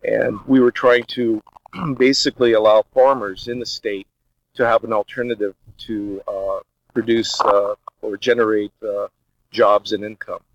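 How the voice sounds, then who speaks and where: A man who grew up in Brazil with Portuguese as his first language, talking as a podcast guest and pausing on many filler sounds. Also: fairly low pitch, steady tone, no echo. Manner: hesitant, many filler uhs. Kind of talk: casual interview talk. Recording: dull, dry lo-fi sound.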